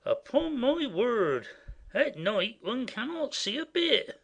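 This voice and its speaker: An actor playing a simple, boastful, smitten manservant in a classic comedy audio play, with a naive, exclaiming manner. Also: male voice, very high-pitched, big pitch swings, takes it slowly, vocal fry, fairly bright tone, exaggerated voice. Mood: smitten, confused. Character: simple, boastful male servant, cheerfully self-satisfied and smitten.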